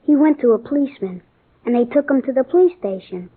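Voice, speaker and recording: Husky, high-voiced American schoolboy. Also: female voice, lively intonation, fast talker. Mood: earnest, matter-of-fact. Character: earnest, matter-of-fact schoolboy son, kind-hearted. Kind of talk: old-time radio drama acting. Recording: tinny, band-limited old radio broadcast.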